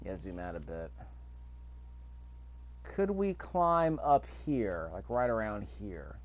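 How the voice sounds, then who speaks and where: A man's voice: fairly low pitch, sing-song, slow pace, muffled recording. A man giving casual gameplay commentary, thinking aloud to himself as he plays. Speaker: gamer recording a gameplay video.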